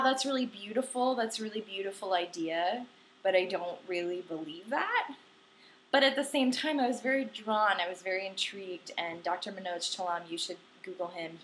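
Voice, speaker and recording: A woman's voice: medium pitch, fairly bright tone. Young woman, casual home vlogger, talkative and giggly, slightly tipsy, light background noise. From Long Island, New York. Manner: chatty and giggly, lots of ums. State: a little tipsy. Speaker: spiritual vlogger. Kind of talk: casual chat to camera. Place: home desk at night, candles.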